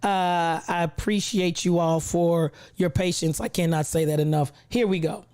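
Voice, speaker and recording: A woman's voice: on the low side, fairly even intonation, moderate pace, a little mellow. A woman hosting a casual livestream, greeting viewers in an effusive, affectionate, playful way, with a voice not quite back to full strength after losing it. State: recovering from a lost voice, not full voice. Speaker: livestream host.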